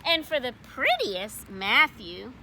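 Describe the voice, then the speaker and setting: A woman voicing a kind, patient schoolteacher in a storybook, speaking gently, with background noise. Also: fairly high pitch, wildly swooping pitch, slow, full character voice. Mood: announcing. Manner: gentle, announcing. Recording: noisy background.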